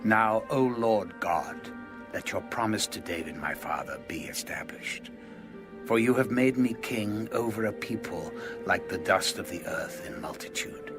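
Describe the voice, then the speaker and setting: A humble king in an audio Bible drama. His deep voice is earnest and reverent, with music clearly heard behind it. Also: animated, unhurried.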